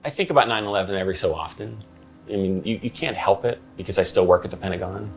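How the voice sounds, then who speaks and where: A middle-aged man recalls his experience conversationally. His voice is very low and rather gravelly, with faint music behind it. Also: quick pace. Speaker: Pentagon worker and survivor interviewed. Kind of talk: conversational personal recollection in a documentary interview. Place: living room, on a couch.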